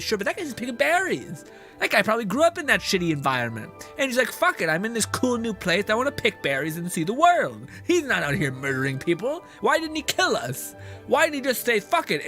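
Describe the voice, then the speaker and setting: Streamer: an animated, profane man, high and bright. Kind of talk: casual gameplay commentary.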